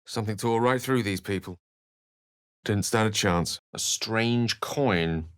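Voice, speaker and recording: A man's voice: fairly low pitch, slow pace, fairly bright tone, smooth, no echo, clean studio sound. A man voicing an eloquent, bookish, theatrical wizard in a video game, speaking with refined and wry wording. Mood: measured, alert. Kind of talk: video game character dialogue and narration.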